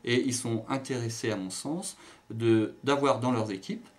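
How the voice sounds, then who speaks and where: A man's voice: medium pitch, moderate pace. A man giving his opinion in an interview in an assured, explanatory manner.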